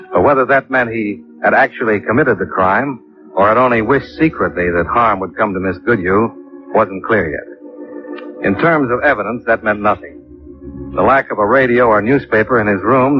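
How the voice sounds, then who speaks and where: An actor playing a cool, persistent investigator in 1950s radio detective drama, terse, matter-of-fact and probing. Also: male voice, on the low side, lightly acted. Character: cool, persistent male investigator narrating his case. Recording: dull old radio recording with music.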